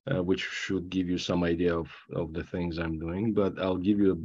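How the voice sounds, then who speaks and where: A middle-aged man from Armenia giving a panel talk, halting with many fillers and restarts, with dry asides. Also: low-pitched, flat delivery, darkish tone, husky, highs cut off. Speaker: panel guest speaker.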